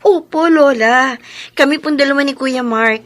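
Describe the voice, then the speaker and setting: A woman playing a gentle, forgiving young daughter in a Filipino radio drama, speaking softly and respectfully with a breathy voice. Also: sits high, normal speed.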